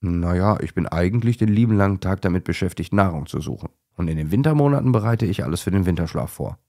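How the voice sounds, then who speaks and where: Man voicing a gravelly Russian-accented hedgehog. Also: low-pitched, expressive, fast, a little mellow. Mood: friendly. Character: friendly Siberian snow hedgehog, male, calm peacemaker.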